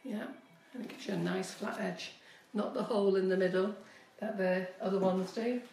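A woman in her fifties giving a live cake decorating lesson from her home kitchen, talking chattily to viewers, her voice a little squeaky from a cold. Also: fairly even intonation, moderate pace, gravelly, darkish tone, recorded from a distance. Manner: chatty, instructive, addresses viewers directly. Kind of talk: live-streamed baking demonstration. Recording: echoey kitchen, voice often off-mic.

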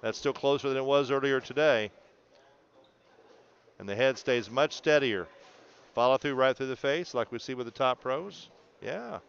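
A man's voice: mid-range voice, moderate pace, gravelly, pauses often, clear, resonant tone, highs cut off. A man coaching a student through video analysis in an encouraging, explanatory way, often checking in. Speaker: bowling coach.

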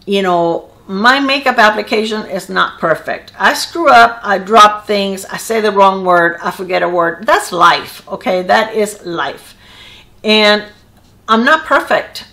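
A woman's voice: medium pitch, expressive, unhurried, creaky, pushed and bright. Earnest older woman with Cuban accent. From Cuba. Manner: chatty, earnest, rambling with restarts. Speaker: beauty channel creator. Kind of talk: casual talk to camera. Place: small indoor room with a wall of decorative tiles.

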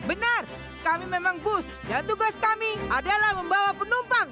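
A brave young cartoon hero, talks in a very high, child-like voice. The delivery is earnest and eager, with loud music underneath. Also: female voice, moderate pace. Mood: determined. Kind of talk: dubbed children's cartoon acting.

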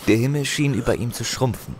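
A man narrating a fantasy audio drama in a solemn, measured, expressive storytelling manner. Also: medium pitch, slight background noise, close to an everyday voice. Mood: solemn, awed. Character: solemn male narrator of a barbarian saga.

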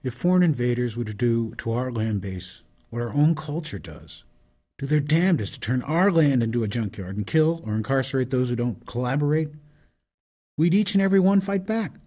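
A worried, idealistic rebel in a radio drama, played by a man. The voice is medium in pitch, dark-toned and husky. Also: lively intonation, brisk, close to an everyday voice. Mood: earnest protest.

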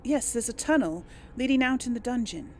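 A woman's voice: a little high, expressive, brisk, darkish tone, a little hiss or hum. A woman giving casual, chatty gameplay commentary, talking to herself and to the game's characters. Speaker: host of a role-play gaming series. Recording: game music behind the voice. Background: video game music.

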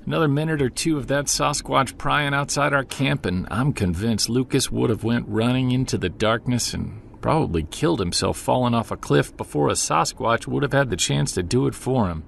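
A man's voice: mid-range voice, vocal fry, a little hiss or hum. An actor playing a weary, stressed male investigator confiding in an audio log, hesitant and downbeat, as in an audio drama. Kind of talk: audio drama, confessional narration.

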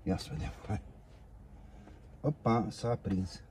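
A middle-aged man with a low, mellow voice talks half to himself as he tinkers inside a parked car. A phone camera on the passenger side picks him up, with a faint hiss and outdoor hum behind him. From Romania. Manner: muttering to himself, coaxing the parts. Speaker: DIY car vlogger. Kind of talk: casual self-talk while working.

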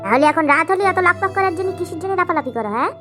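A sharp-tongued village wife played by a woman in a cartoon comedy. She scolds in a very high voice at a rapid clip, with music behind her. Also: lively intonation, exaggerated voice. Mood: taunting. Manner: taunting, scolding. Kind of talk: comic cartoon dialogue. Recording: background music throughout.

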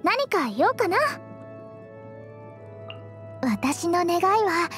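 A woman's voice: extremely high pitch, very animated, drawn-out words, fairly bright tone, exaggerated voice. A woman voicing a sweet, cheerful teenage girl idol and healer in an anime game, with an earnest, polite, encouraging manner. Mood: warm, earnest. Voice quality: girlish, sweet. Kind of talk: anime game character voice lines. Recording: studio voice over game music.

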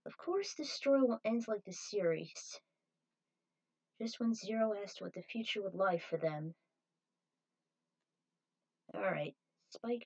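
A woman's voice: mid-range voice, a little mellow, muffled recording. A woman narrating her own fan fiction as an amateur author reading aloud.

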